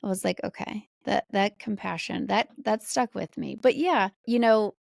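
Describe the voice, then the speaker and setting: An American woman chats candidly as a podcast guest. Her mid-pitched voice carries plenty of vocal fry, and the sound is dry and close. She is animated and often slips into little acted-out quotes. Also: moderate pace, no echo. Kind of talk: casual conversational interview.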